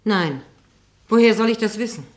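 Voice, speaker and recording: A woman's voice: medium pitch, lively intonation, normal speed, natural voice. An actress playing a guarded, indignant female witness in a German radio courtroom drama, giving evasive, clipped answers. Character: defensive, indignant female witness, a stenotypist, under cross-examination.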